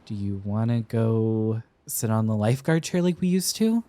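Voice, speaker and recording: A man's voice: fairly low pitch, lively intonation, moderate pace, close to an everyday voice. A young man playing a tender, flustered college-age boyfriend in an intimate romantic roleplay, with hesitant, stammering delivery. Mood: affectionate, playful. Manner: hesitant, stammering, soft-hearted.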